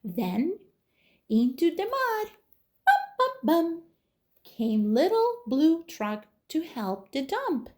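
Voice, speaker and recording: A woman reading a children's storybook aloud as a toddler teacher, in an expressive manner. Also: very high voice. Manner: slow, animated, expressive.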